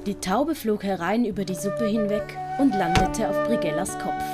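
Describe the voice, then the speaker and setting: A woman's voice: medium pitch, lively intonation, normal speed. A woman reading scripted audiobook narration as the storyteller. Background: music.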